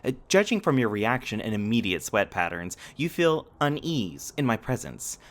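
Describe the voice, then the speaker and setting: A man's voice: on the low side, big pitch swings, moderate pace. A man playing a cheerful, literal-minded robot companion in a scripted sci-fi roleplay, speaking in formal, precise, over-explaining sentences. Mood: polite, matter-of-fact.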